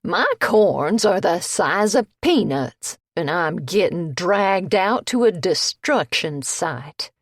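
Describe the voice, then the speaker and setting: A woman's voice: on the low side, expressive, takes it slowly, few pauses, no room sound, clean studio sound, heavily acted. A woman reading an audiobook, voicing a cranky, pouty old woman who grumbles bluntly. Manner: grumbling, petulant.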